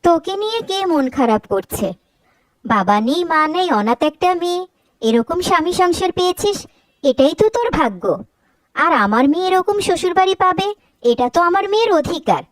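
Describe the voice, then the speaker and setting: Fretful mother, high-voiced played by a woman. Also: expressive, moderate pace, no room sound, poor audio. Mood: proud, dismissive. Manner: fretful, questioning. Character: anxious village mother, proud and fretful over daughter. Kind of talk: Bengali moral-story cartoon voice acting.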